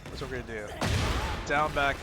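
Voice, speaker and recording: A man's voice: medium pitch, brisk. A man giving casual, unscripted coaching commentary on a game replay, thinking aloud in an informal, hesitant way and repeating himself. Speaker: gaming video creator giving match analysis. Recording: game music and battle sounds behind voice. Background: loud fighting game music and combat effects.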